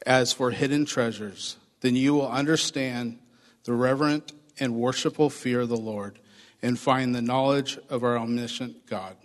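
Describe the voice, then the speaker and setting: Hoarse pastor speaking in a reverberant hall. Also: male voice, medium pitch. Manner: upbeat, chatty, addresses congregation directly. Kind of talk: church announcements and sermon. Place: church sanctuary. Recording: long reverb of a church hall.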